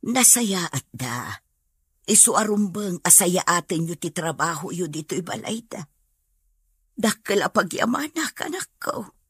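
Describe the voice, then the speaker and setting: A woman's voice: fairly low pitch, very animated, unhurried, a bit bright, breathy edge, no room sound, full character voice. A woman playing a humble, pious mother in an Ilocano radio drama, earnest and imploring. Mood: pleading, grateful.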